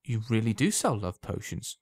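A man's voice: lowish voice, big pitch swings, moderate pace, darkish tone. A man voicing a naive, lovesick young customer in a storybook reading, eager and earnest. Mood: curious.

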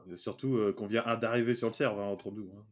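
Guy on game voice chat, lowish voice, blunt and sarcastic, slangy arguing. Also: fairly even intonation, quick pace. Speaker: gamer streaming an online roleplay game. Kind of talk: casual argumentative voice chat.

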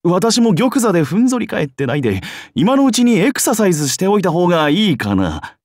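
A cocky young male schemer from a game. His fairly high voice swings wildly in pitch, wry and theatrical, on a dry close recording. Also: big pitch swings, a little fast, a little mellow, no echo. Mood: bemused. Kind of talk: game character acting.

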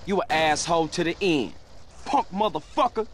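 An actor playing a cocky, defiant young American street gangster in a video game, talking in street slang. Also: male voice, a little high, animated, moderate pace, background noise, close to an everyday voice. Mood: menacing, taunting. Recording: game audio under loud gunfire, engines.

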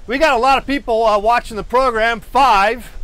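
A man's voice: extremely high pitch, fairly even intonation, moderate pace, a bit bright, low-quality recording. A man, hosting a live painting stream from a cluttered indoor studio, talking on a lapel mic while walking on a treadmill, meandering and self-deprecating, with many fillers and restarts. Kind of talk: rambling live-stream chat.

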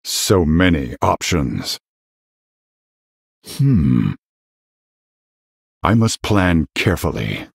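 An actor voicing a noble, battle-hardened, middle-aged warrior king in a fantasy video game, gravelly and husky, speaking in a grand, formal, commanding way. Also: fairly low pitch, no echo, studio quality, exaggerated voice. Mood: grave, measured.

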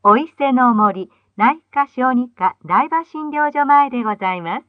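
Woman doing bus announcements, polite n formal, highish voice, narrow phone-like old tape sound. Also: animated, measured pace, dry recording. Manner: polite, formal, carefully enunciated. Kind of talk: scripted in-vehicle bus stop announcements.

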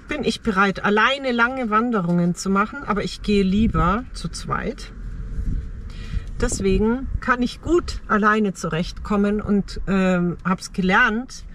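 A middle-aged woman shares personal reflections for a vlog from inside a car. Her medium-pitched voice moves in short, halting, thoughtful phrases. There is some faint noise behind her. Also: a bit bright. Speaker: travel vlogger.

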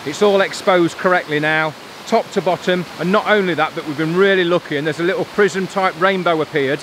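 A man's voice: on the high side, fairly bright tone, poor audio. A man, chatting casually to camera as a photography vlogger outdoors. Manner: chatty, upbeat, colloquial. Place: outdoors by a waterfall in a valley. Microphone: on-camera mic, handheld selfie framing. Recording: rushing water noise behind. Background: waterfall and stream.

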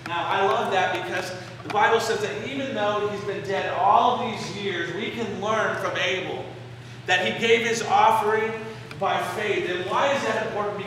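A man in his forties preaching a sermon in a church sanctuary, explaining a passage with pauses on key phrases, recorded by a camera out in the pews. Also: high voice, fairly bright tone, slight background noise. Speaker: pastor. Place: church sanctuary, indoors, medium-sized. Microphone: far camera in the pews. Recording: echoey church from far off.

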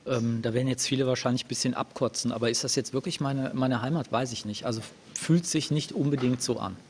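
A man's voice: mid-range voice, fairly even intonation, quick pace, a little mellow, a little hiss or hum. A middle-aged man from Aachen talking casually as a talk show guest, musing hesitantly. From Aachen, Germany. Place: TV studio, indoors.

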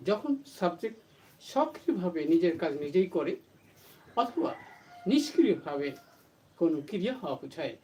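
A teacher with a mellow, soft-edged tone delivers an explanatory lesson. The pitch moves around in an animated way, with questions posed and then answered for the students. Faint noise sits behind the voice. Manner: didactic, asks questions and answers them. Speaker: English grammar teacher for an online channel. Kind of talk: explanatory lesson to students, mixing Bengali and English terms.

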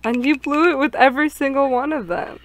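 Higher-voiced woman on a game stream. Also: steady tone, a little fast, slight background noise, rough recording. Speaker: player in a group gaming stream. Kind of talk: reading visual novel dialogue aloud and casual chat.

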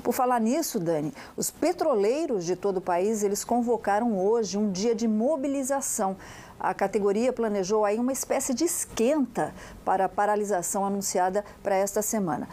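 Woman, 40s, TV host, mellow, chatty, lapel mic, studio. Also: mid-range voice, animated, brisk. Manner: chatty, friendly, addresses co-hosts by nickname. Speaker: TV talk show host. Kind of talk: live TV hosting.

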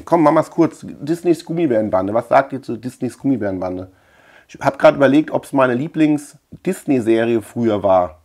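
Middle-aged man chatting casually, medium pitch. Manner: colloquial, chatty, thinking out loud. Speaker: collector and video channel host. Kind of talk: casual rambling chat to camera. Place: small indoor room lined with shelves.

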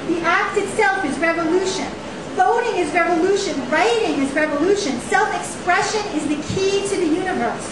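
A woman in her thirties giving a dramatic poetry reading, with emphatic, deliberate phrasing and rhetorical repetition. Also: very high voice, expressive. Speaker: poet reading own work. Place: small indoor poetry venue on stage. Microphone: stand microphone, picked up by camera. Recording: echoey live room, distant camera, faint hiss.

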